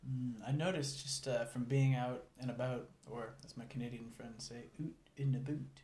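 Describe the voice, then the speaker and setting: Male, monotone, rambling and filler-heavy, echoey room. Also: mid-range voice, normal speed, slight background noise. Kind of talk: casual chat.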